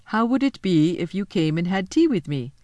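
A woman voicing a polite, fussy, slightly melancholy male faun who speaks slowly and formally. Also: fairly low pitch, very animated, a little mellow, no room sound. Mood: hospitable. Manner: courteous, slow and formal.

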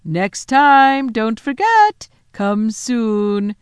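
A woman voicing a cold, scheming queen who speaks slowly and coaxingly. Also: lowish voice, animated, no room sound. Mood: teasing. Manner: slow, coaxing and commanding.

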